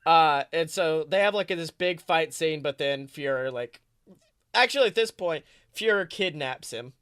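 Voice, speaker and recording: Male podcast co-host, high voice, dry recording, rambling playful improvised storytelling. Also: animated, measured pace, a bit bright, clear, resonant tone, dry recording. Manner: rambling, self-correcting, playfully dramatic. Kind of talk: improvised comic storytelling, casual chat.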